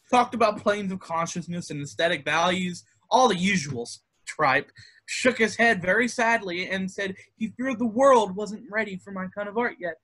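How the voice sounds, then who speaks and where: A man's voice: high-pitched, bright, forceful tone, heavily acted. A man playing a bitter, dejected young painter in a stage play table read.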